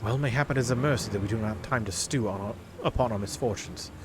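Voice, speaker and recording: A man's voice: mid-range voice, fast talker, background noise, natural voice. Man playing a stately fantasy noblewoman commander. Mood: resolute. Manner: formal, stately, archaic diction. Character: resolute, formal noblewoman commanding a fantasy army.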